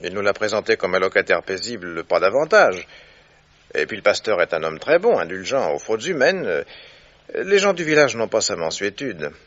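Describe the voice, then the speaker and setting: An actor playing a keen, courteous gentleman detective in a French radio drama, speaking in a deliberate, theatrical way. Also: fairly low pitch, sing-song. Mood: assured, explaining. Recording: dull, muffled old radio recording.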